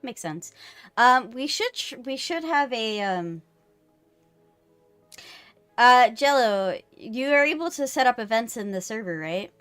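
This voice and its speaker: A woman chatting casually on a live game stream, playful, teasing and quick to swear, with music behind her. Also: fairly high pitch, lively intonation, a bit bright, clean tone. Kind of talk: casual banter while live streaming a visual novel.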